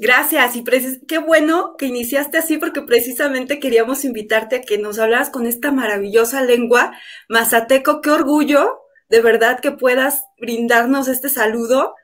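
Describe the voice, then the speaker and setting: A young woman with a Mexican Spanish accent interviews a guest on a video call. Her voice is high and she sounds warm and effusive. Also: moderate pace. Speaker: radio host. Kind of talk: casual interview.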